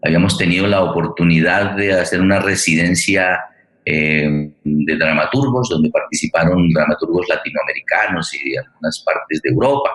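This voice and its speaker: A man, speaking formally and haltingly as he welcomes listeners and introduces an online radio theatre programme. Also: sits low, a bit bright. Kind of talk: spoken introduction to a live online forum. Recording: roomy, echoing sound.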